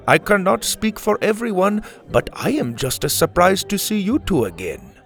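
A man's voice: high-pitched, huge pitch swings, normal speed, creaky, a bit bright, faint music behind, pitched above the performer's own voice, full character voice. A man voicing a polite, formal man with an Indian accent. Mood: surprised.

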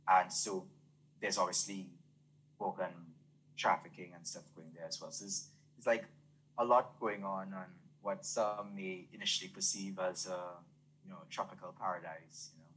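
A man's voice: deep voice, steady tone, normal speed, a bit bright, a little hiss or hum, rough recording. A young man with a Trinidadian accent, speaking earnestly and fluently with many fillers as a guest in an interview. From Trinidad and Tobago. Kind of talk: casual interview talk.

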